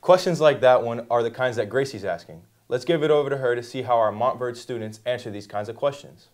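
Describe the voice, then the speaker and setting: Teen boy anchor, reads scripted news lines in a friendly tone, mid pitch. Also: brisk, darkish tone. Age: teens. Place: school studio set.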